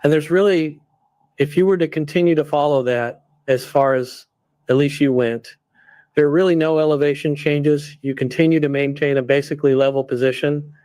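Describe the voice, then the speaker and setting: A synthetic male voice playing a defense attorney, questioning a witness in a measured, methodical way. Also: fairly high pitch, steady tone, a little mellow, no room sound, lightly acted. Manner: measured, methodical cross-examination. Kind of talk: synthetic courtroom reenactment.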